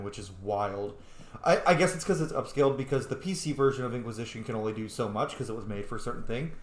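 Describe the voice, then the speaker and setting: A man chatting loosely over his playthrough from an echoey room, the kind of informal host heard on a long roleplay series. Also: medium pitch. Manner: rambling, informal, sweary. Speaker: gaming video host. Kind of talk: casual let's play commentary and roleplay. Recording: roomy echo, game music behind. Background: video game music.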